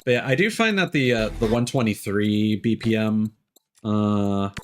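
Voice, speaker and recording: A man's voice: lowish voice, a little slow. A man streaming a video game with casual live commentary, musing aloud as he goes. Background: music behind the voice.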